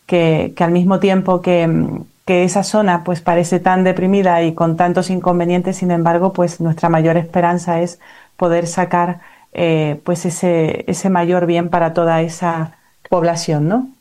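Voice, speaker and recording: A woman's voice: on the low side, flat delivery, unhurried, mellow. A woman hosting a conversational interview, affirming her guests as she listens and guides the talk. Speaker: interview host.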